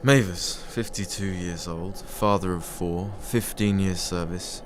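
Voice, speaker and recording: A man's voice: low-pitched, fairly even intonation, a little slow. A man playing a sombre railway signal box worker, terse and muttering, in a horror drama. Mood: brooding. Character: sombre male signal box colleague on radio watch. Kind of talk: horror audio drama acting. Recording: heavy ambient noise behind voice.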